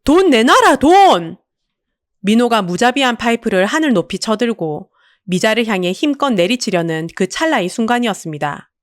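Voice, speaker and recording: Woman audiobook narrator, super expressive, dramatic story reading, dry close sound. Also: mid-range voice, brisk, no room sound.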